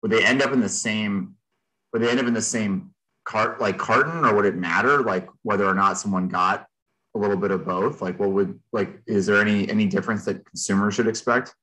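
30s guy host on a video call, low punchy bright voice, asking stuff real casual. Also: steady tone, fairly quick, low-quality recording. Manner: casual, conversational phrasing. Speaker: host questioning a presenter. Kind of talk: informal interview.

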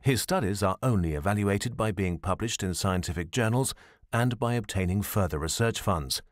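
A man reading scripted documentary narration in a measured, formal and serious way, as a studio voice-over. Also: on the low side, no echo.

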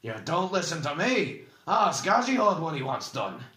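Man doing a loud, indignant fantasy dwarf. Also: a little high, sing-song, rapid-fire, roomy sound, pitched above the performer's own voice, full character voice. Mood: roaring, challenging. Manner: blunt, roaring, indignant. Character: gruff, defiant, hot-tempered male fantasy dwarf.

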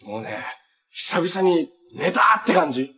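A man's voice: high voice, sing-song, measured pace, bright, forceful tone, heavily acted. An actor playing an easygoing, kind young male hero in a TV superhero drama, speaking in a friendly, earnest, casual way. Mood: relaxed, cheerful. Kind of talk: naturalistic Japanese TV drama acting. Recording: TV drama audio with noticeable background noise.

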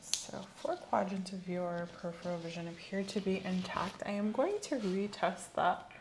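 Soft-spoken woman with creaky voice, ASMR exam. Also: lowish voice. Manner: gentle, measured, clinical, often dropping to a whisper. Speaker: ASMR roleplayer acting as medical examiner. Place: small indoor room set up as an exam office. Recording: faint background noise.

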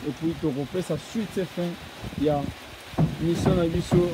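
A man chats through a casual report from open grassland and switches freely between languages. His voice sits fairly high. Clear outdoor noise runs under the phone recording. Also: fairly even intonation, unhurried. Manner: mixes Lingala and French, chatty, repeats words. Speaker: reporter presenting a building project.

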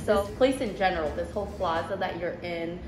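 Low-voiced woman, chatty, echoey restaurant. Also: fairly quick. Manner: chatty, laughs often, restarts sentences. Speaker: restaurant owner. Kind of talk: casual interview. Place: sushi restaurant. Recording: echoey room with background noise.

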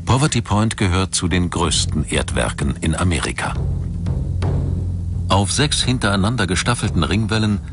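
Deep-voiced male documentary narrator. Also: lively intonation, normal speed, music in the background.

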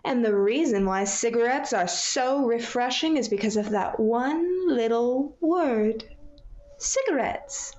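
A young woman playing a cheery, prim 1940s radio pitchwoman with sweet, genteel sales patter. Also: lively intonation, takes it slowly, darkish tone. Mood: sweet, chipper. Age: young adult. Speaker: radio show sidekick and ad announcer. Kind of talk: 1940s radio commercial acting. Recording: roomy, slightly dull sound.

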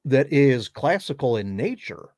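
A middle-aged male host speaks at a middle pitch over a video call. His sound is dry and close, with no room around it, and he mostly answers in brief agreeing comments. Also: very animated, unhurried, darkish tone, dry recording. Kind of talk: casual interview.

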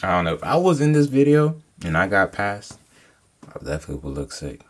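Deep-voiced young man reacting casually. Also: sing-song, fairly quick. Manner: laid-back, blunt asides. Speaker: reaction streamer.

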